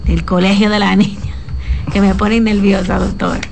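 A woman's voice: medium pitch, little pitch movement, moderate pace, gravelly, noisy background. A woman hosting a talk show, asking questions and reading a listener's letter aloud in a chatty way.

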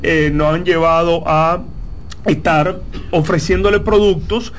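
Man, Dominican accent, somewhat high pitch, faint background hiss, measured explanations. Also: steady tone. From Dominican Republic. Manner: measured, explanatory, frequent "eh" fillers. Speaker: company founder, guest. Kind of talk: interview answers.

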